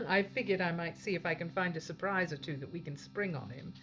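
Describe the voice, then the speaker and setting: A woman's voice: lowish voice, a little fast, fairly bright tone, highs cut off, soft background music, close to an everyday voice. A woman playing a blunt, authoritative female spaceship captain in an audio drama. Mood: resolute. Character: tough, commanding female captain of a failing spaceship. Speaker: actor playing a ship's captain.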